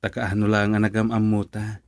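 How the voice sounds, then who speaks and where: A man's voice: on the low side, monotone, moderate pace, dry recording, clean studio sound, lightly acted. A male actor with a Filipino accent playing an earnest young man in love, hesitant and pleading, in a romantic radio drama. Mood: pleading, worried.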